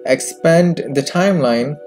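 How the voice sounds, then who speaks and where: A man presenting a software tutorial in scripted narration, recorded as a close voice-over with music behind him. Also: on the high side, unhurried. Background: background music.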